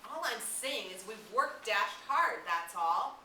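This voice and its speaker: A woman's voice: medium pitch, animated, slow, voice pushed up. Woman voicing an exasperated boy leader, roomy recording. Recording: roomy, distant mic, clear hiss.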